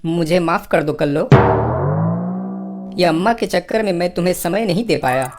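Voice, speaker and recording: An actor voicing a young, henpecked husband and son in an animated family comedy, pleading and submissive in manner. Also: male voice, high voice, moderate pace, lightly acted. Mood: apologetic. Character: henpecked, placating grown son caught between mother and wife. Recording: music bed under the voice.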